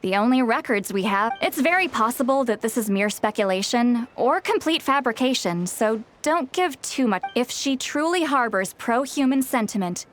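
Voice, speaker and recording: A woman's voice: on the high side, expressive, moderate pace, hardly any pauses, slight background noise, lightly acted. A woman voicing a knowledgeable character who explains ancient lore in a video game, in an explanatory, matter-of-fact way. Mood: explaining.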